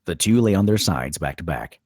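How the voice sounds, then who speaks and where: A man narrates screenplay directions in a low, husky voice. His pitch moves around a great deal as he sets each scene, and the sound is dry and close. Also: lively intonation, fairly quick, a little mellow, dry recording. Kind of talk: scripted narration of a screenplay table read.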